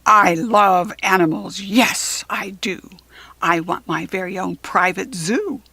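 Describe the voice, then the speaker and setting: A woman's voice: mid-range voice, slow, sharp tone, breathy edge, no room sound, heavily acted. An American woman voicing a greedy, boastful storybook villain who chants in emphatic, singsong rhyme. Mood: boastful, scheming.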